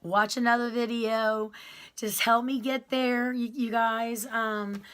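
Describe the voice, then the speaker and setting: A woman, talking slowly to her viewers. She rambles and restarts often, with plenty of ums. Her voice is loud and carries. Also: on the high side, fairly even intonation, smooth. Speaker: YouTuber telling her prison story. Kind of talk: casual storytelling to viewers.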